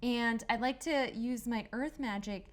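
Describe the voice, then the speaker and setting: A woman with a fairly high voice talks as a player in a tabletop roleplaying game. She casually lays out what her character does. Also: measured pace. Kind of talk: casual game play talk.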